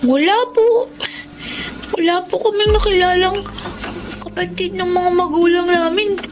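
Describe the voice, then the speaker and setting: Little orphan girl, super high voice, polite n meek, filipino radio drama w music behind. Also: fairly even intonation, measured pace. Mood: shy, grateful. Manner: respectful, meek, earnest. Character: polite, grateful orphaned street girl, a child. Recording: music under the voice.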